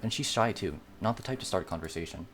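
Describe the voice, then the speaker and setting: A man's voice: sits low, expressive, quick pace, a bit bright, soft background music. A man voicing a friendly, teasing young demi-human girl, a loyal best friend in a visual novel, in an easygoing, fond way.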